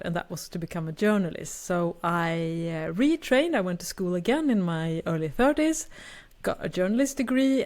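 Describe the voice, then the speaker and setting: A woman's voice: mid-range voice, darkish tone. A Swedish woman in her fifties with a Swedish accent, co-hosting a podcast in a chatty, self-deprecating way with frequent laughs. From Sweden. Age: 50s. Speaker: podcast co-host. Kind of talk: casual podcast chat.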